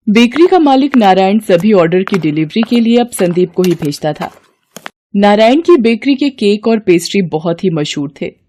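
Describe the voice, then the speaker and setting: A woman's voice: mid-range voice, mellow. A woman storyteller giving scripted narration of a moral tale.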